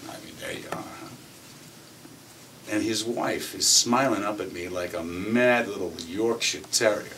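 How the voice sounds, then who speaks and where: An actor playing a cynical, swaggering corporate executive, telling his story in a theatrical monologue with deliberate pauses. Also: fairly low pitch, a bit bright. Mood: sardonic storytelling. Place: acting workshop room. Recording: distant mic with faint room noise.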